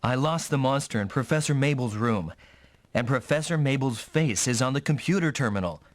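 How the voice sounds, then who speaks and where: Man acting an eager, animated young mech pilot. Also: medium pitch, normal speed, dry recording, close to an everyday voice. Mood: puzzled, wary. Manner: dramatic, exclamatory delivery, thinking aloud. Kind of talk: anime-style English video game voice acting.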